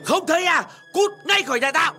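A scheming cat villain from a cartoon, pitched high and swooping widely. He draws out his words in theatrical, melodramatic bursts over loud music. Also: expressive, a little fast. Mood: angry bullying. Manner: whining theatrically, then bullying and gloating. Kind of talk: animated children's cartoon acting.

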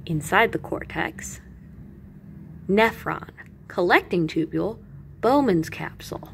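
A woman reading educational material aloud as a teacher, with animated emphasis and carefully separated lists. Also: fairly low pitch, slow, gravelly, slight background noise. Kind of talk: expressive educational read-aloud.

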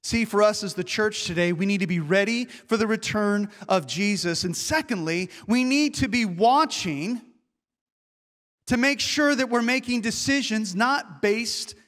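High-voiced preaching man, echoing hall. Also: lively intonation, a little slow, a bit bright. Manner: earnest preaching with rhetorical questions. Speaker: pastor. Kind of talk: sermon. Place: church sanctuary.

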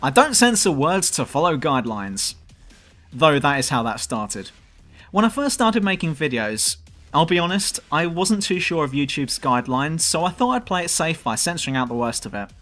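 A southern English man reads his scripted commentary in a dry, self-mocking way. His voice is on the higher side for a man, and his pitch jumps about a great deal. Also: big pitch swings, moderate pace, fairly bright tone, soft background music. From southern England. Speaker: YouTube gaming channel host. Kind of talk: scripted commentary over gameplay.

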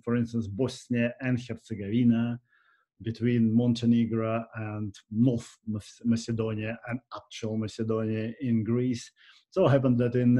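Animated older Russian-accented man. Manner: animated, gesturing, lively swings in pitch. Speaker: insurance specialist, channel host. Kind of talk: unscripted talk to camera. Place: home room, indoors. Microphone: webcam at desk.

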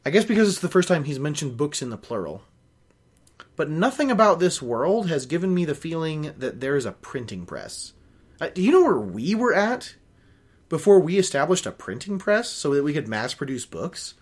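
Young man with a lively, swooping delivery. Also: on the high side, moderate pace, breathy edge. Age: young adult. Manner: animated, opinionated, nitpicking commentary. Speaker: host of a book-reading show. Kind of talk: reading a novel aloud with ranting asides. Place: small home office, indoors.

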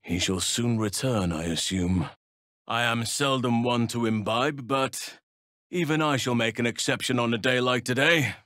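An actor playing a wise, gentle and steadfast elf druid in a fantasy video game, speaking in measured, formal phrases. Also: male voice, medium pitch, dry recording, clean studio sound. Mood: earnest, measured. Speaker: video game companion character. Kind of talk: acted fantasy game dialogue.

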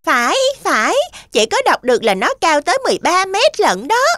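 Very high-pitched little girl goat. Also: very animated, brisk, a bit bright. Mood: excited. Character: bold, observant little girl goat kid. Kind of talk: children's cartoon dub.

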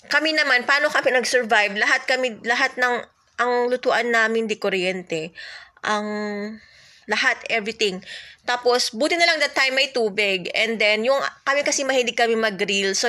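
A woman in her thirties with a Filipino accent, chatting casually to her phone camera at home, rambling with fillers and soft laughs. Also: on the high side, normal speed. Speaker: vlogger. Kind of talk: casual chat to camera, mixing Tagalog and English. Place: home, indoors. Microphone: phone held close, front camera.

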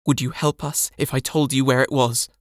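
A man's voice: mid-range voice, steady tone, normal speed, dry recording, clean studio sound, pitched above the performer's own voice, natural voice. A man voicing a frightened young boy who stammers but tries to stay brave. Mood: fearful. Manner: hesitant, stammering. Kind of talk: audiobook character voice.

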